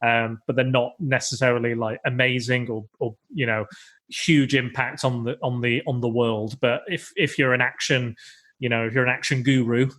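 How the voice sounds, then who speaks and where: A man's voice: mid-range voice, steady tone, normal speed, a bit bright. A man co-hosting a podcast, chatting casually about action films with many restarts and fillers. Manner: rambling, many restarts and ums. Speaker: podcast co-host. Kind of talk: casual film chat.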